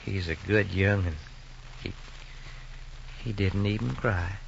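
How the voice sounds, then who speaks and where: A man's voice: sits low, slow, gravelly, heavily acted. An actor playing a weak, good-hearted farmer father with a Southern American accent and a stammering, hesitant way of talking, in old-time radio drama style. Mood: dejected, apologetic. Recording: muffled, noisy old radio recording.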